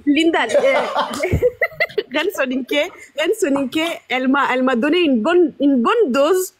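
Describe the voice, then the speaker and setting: Woman, high voice, live stream host, animated commentary, questions to viewers. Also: measured pace. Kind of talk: casual commentary on clips.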